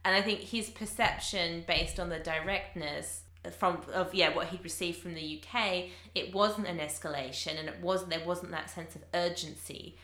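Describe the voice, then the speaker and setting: Low bright-voiced woman in an echoey room. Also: normal speed, hardly any pauses. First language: English. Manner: thoughtful, uses many fillers and restarts. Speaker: English teacher. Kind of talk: casual conversation.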